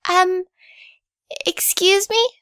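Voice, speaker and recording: A timid, sweet young girl, played by a woman in an audio drama. Her voice is high and bright, and she speaks slowly with nervous hesitation, recorded dry. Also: steady tone, no room sound, exaggerated voice.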